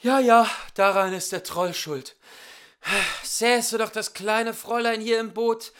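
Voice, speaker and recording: A man reads as a steadfast little tin soldier from a storybook. He muses to himself bravely, in a bright, slightly high voice. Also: fairly even intonation, slow pace, clear, resonant tone. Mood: wistful.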